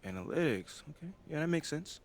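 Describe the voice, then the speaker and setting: An actor playing a confident young pro basketball player, talking in a casual, slangy, laid-back way in a game cutscene. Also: male voice, a little high, big pitch swings, fairly quick. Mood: guarded. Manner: casual, slangy, hesitant asides. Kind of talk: naturalistic video game cutscene acting.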